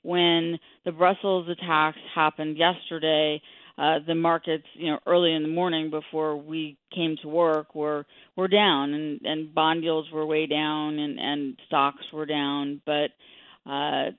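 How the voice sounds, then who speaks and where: A middle-aged woman explaining things as an expert guest in a radio interview, with measured, hesitant phrasing. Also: low voice, little pitch movement, no room sound. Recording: thin phone-line sound.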